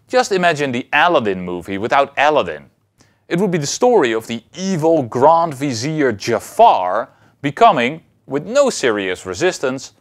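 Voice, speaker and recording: A man in his thirties giving an explanatory lecture as a university lecturer, phrasing deliberately and emphatically with pauses. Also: medium pitch.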